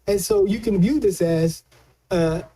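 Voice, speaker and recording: Man, high-pitched voice, soft mellow tone, halting colloquial delivery, political analyst talk. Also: slightly rough, low-quality recording. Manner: halting, repeats words while explaining, colloquial. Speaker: news analyst. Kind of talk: conversational political commentary.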